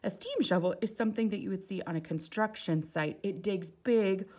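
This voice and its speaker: A woman's voice: medium pitch, normal speed. A woman reading a children's picture book aloud expressively, with casual asides about the pictures. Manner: expressive, exclaiming softly at the pictures.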